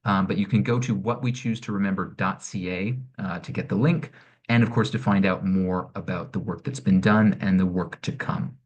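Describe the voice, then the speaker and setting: Low-voiced man presenting an online session. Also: steady tone, highs cut off. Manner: measured, explanatory, frequent "um" and "sort of". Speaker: director of programming presenting an arts network. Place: home or office, online session. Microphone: computer mic on a video call.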